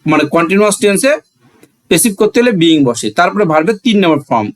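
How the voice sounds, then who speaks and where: A man's voice: sits high, big pitch swings, quick pace. A man with a Bangladeshi accent teaching a grammar lesson, asking questions and answering them himself, repeating phrases for emphasis.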